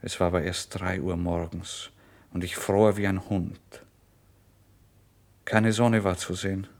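A man narrating a literary first-person story in a brooding, expressive way, moving between hushed and emphatic delivery. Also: sits low, measured pace. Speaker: audiobook narrator.

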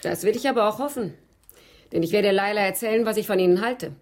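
A woman's voice: mid-range voice, fast talker, a little mellow, natural voice. An actress playing a worldly, self-assured woman who teases and probes, in a German radio crime drama. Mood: suspicious, cool. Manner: teasing, self-assured, probing. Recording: faint music under the voice.

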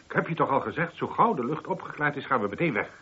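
A man's voice: fairly high pitch, lively intonation, fast talker, pushed and bright, a little hiss or hum. A man playing a haughty, irritable, self-assured husband in a Dutch radio play. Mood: irritated. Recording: dull, muffled old radio recording.

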